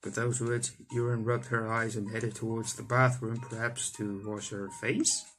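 A man's voice: lowish voice, flat delivery, normal speed, fairly bright tone. A young man, a gaming YouTuber, reading visual novel text aloud and adding his own asides. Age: young adult. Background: game music.

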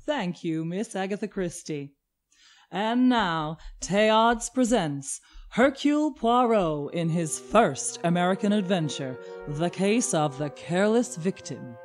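A woman's voice: on the low side, animated, takes it slowly, darkish tone, soft background music. A woman announcing a radio drama in a formal, grandiose old-time scripted style.

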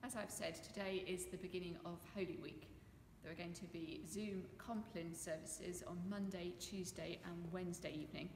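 A woman's voice: on the low side, steady tone, moderate pace. A British woman speaking as a vicar, giving notices to her congregation in a church. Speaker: vicar leading the service. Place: stone church interior. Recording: echoey church with long reverb, faint noise.

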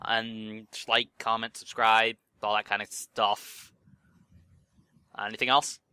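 Guy gamer joking around, really bright clear voice, close dry sound. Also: on the low side, level tone, takes it slowly, no room sound. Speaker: gamer co-host commenting while playing. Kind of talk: casual joking chat.

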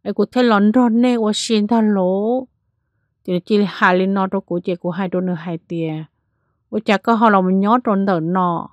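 A woman narrating a spooky tale in a lively storytelling manner, changing pace and loudness as she goes. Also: mid-range voice, moderate pace, no echo, dull audio. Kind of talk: spoken ghost story narration.

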